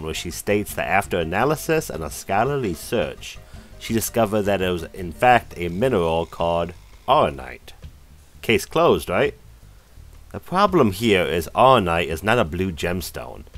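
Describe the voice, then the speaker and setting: A man giving dry, skeptical scripted narration. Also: low voice. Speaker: debunking narrator.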